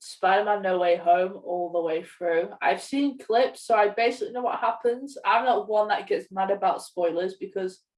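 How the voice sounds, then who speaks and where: A woman's voice: mid-range voice, moderate pace, few pauses, a little mellow. Young woman, echoey and distant. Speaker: podcast co-host, media student. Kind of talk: casual film podcast chat. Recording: roomy echo, distant from mic.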